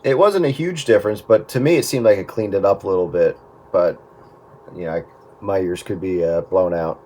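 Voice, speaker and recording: A man with a fairly low voice talks casually over the radio. He works through technical details out loud, pausing and hesitating as he goes. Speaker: amateur radio operator.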